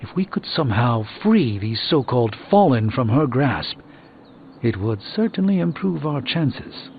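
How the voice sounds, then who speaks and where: An actor playing a learned, composed sorcerer in a video game, speaking in a formal, eloquent, dryly witty way. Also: male voice, medium pitch, big pitch swings, unhurried, phone-quality sound, a little hiss or hum. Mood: composed, approving. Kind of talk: scripted video game dialogue.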